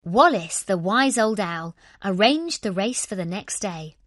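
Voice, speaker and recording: Woman, children's story narration, very lively intonation, expressive, deliberate, dry close sound. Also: mid-range voice, no echo.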